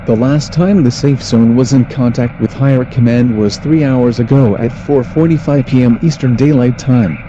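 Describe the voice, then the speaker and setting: A man reading a scripted emergency military briefing as an announcer, in a formal, flat official manner. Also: medium pitch, normal speed, few pauses. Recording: muffled lo-fi audio with faint noise.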